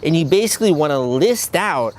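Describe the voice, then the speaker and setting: A young man hosts his own channel, explaining ideas in a loose, conversational flow. His voice sits a little high for a man, and he keeps an upbeat, chatty tone throughout. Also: animated, a little fast, a little hiss or hum. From El Salvador, grew up in the US. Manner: upbeat, conversational, lots of "like" and "you know". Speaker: crowdfunding coach and channel host. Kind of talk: casual talking-to-camera advice video.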